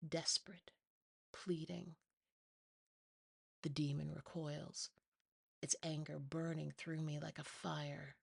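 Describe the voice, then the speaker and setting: Female ASMR horror narrator: lowish, creaky, unhurried, first-person storytelling. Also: flat delivery, dry recording, studio quality. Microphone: close, dry mic.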